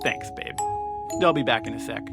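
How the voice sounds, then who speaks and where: An actor playing a cocky, playful teenage boyfriend and horror fan in a video game, hammy and coaching. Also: male voice, mid-range voice, normal speed, loud music behind the voice, natural voice. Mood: eager.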